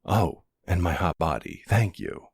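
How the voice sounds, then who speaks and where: Guy doing a flirty mafia boss bf, asmr roleplay, low husky voice, teasing n cocky. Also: big pitch swings, unhurried, dry recording, studio quality, exaggerated voice. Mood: teasing, fond.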